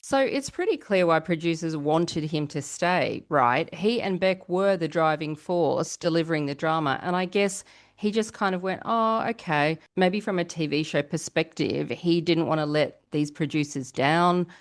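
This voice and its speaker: A woman with a fairly low-pitched voice hosts a gossip podcast about reality TV. She talks casually and chattily, addressing listeners directly with rhetorical questions. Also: normal speed. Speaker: podcast host.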